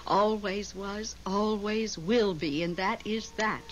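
A woman's voice: medium pitch, takes it slowly, lightly acted. A woman playing a devoted, insistent middle-aged widow with an emotional, theatrical delivery in a 1970s radio drama. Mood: stubborn, wistful. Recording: dull, noisy old radio broadcast recording.

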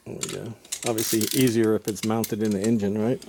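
Gravelly joking man in a shop. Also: fairly low pitch, little pitch movement, noisy background. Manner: jokey, quick quips. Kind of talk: casual chat while working. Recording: shop clatter of tools behind.

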